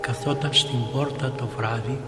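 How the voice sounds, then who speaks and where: A man's voice: mid-range voice, fairly even intonation, lightly acted. An actor playing a mild, proud old dead father in a radio drama, reminiscing simply and wistfully. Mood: wistful reminiscence. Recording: echoey radio play, loud music behind.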